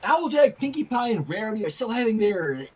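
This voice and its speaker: Story reading: earnest, chatty bookish young woman, voiced by a man, lively swooping pitch, polite and wordy. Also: brisk, husky, full character voice.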